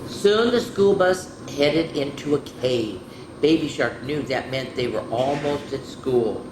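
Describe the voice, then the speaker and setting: Woman storyteller for kids, mid-pitched, animated read-aloud with friendly asides; roomy echo, faint background noise. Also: unhurried. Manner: animated, switches into higher voices for characters; adds chatty asides to young listeners. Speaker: children's storyteller. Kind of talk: reading picture books aloud to children. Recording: echoey room with faint ambient noise.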